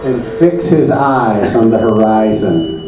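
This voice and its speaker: A man narrating a comedy puppet show on stage with mock solemnity, deadpan, pompous and halting, in a very echoey, distant recording. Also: fairly low pitch. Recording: very echoey, distant mic, music behind.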